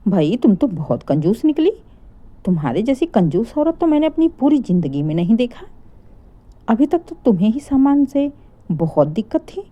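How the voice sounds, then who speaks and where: A woman voicing a sharp-tongued older village aunt who mocks and scolds in a storytelling drama. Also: on the high side, big pitch swings, moderate pace, muffled recording. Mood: taunting. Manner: mocking, scolding, exclamatory.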